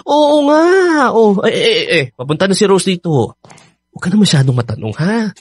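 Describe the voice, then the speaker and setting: An actor playing a young, evasive and defensive boyfriend in a Filipino radio drama. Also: male voice, high voice, very animated, normal speed, husky, dry recording, clean studio sound, heavily acted. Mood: flustered, defensive. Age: young adult.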